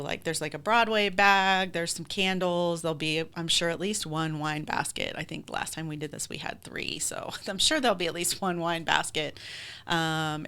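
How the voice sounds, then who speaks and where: Low-voiced woman chatting in an interview. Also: sharp tone, smooth. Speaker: community theater group president, interview guest. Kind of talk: conversational interview.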